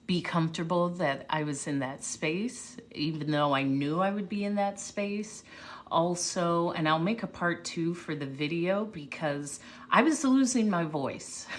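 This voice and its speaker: A woman speaker chatting casually with viewers on a livestream, reflective and unhurried, pausing and restarting often. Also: lowish voice, fairly bright tone. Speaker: livestream host, motivational speaker. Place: home livestream. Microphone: phone or webcam mic.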